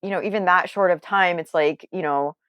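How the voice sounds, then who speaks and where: Young adult woman, lowish voice, interview guest, candid, earnest, meandering, dry close recording. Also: fairly quick, fairly bright tone, clear, resonant tone, dry recording, lo-fi sound. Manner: meandering, earnest, often circles back mid-sentence.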